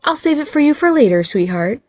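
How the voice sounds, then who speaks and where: Brief: woman doing a high bubbly fairy-godmother mom, anxious but reassuring, close dry sound. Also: sing-song, brisk, darkish tone, dry recording. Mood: chirpy nervous. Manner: eager, reassuring, nervous, bubbly.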